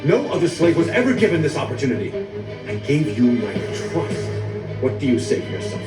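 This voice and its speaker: A man voicing an outraged, commanding slave master in an animated film, forceful and imperious. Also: a little high, brisk. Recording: echoey, distant film audio with music.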